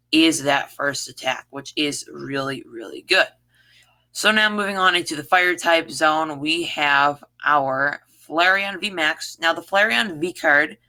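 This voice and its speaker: Bright voice with a scratchy throat. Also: medium pitch. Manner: chatty, repeats words for emphasis. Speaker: trading card game YouTuber. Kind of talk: casual card set review.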